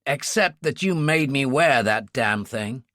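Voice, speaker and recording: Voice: mid-range voice, animated, a little slow, a bit bright, dry recording, studio quality. A narrator voicing a gracious, wry male senator in an audiobook thriller. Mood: shaken, wry.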